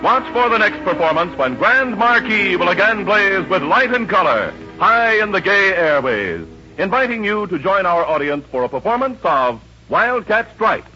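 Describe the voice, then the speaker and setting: A man's voice: sits high, bright, forceful tone. A man reading scripted credits as a 1940s radio announcer. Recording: dull 1940s radio broadcast recording. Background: music.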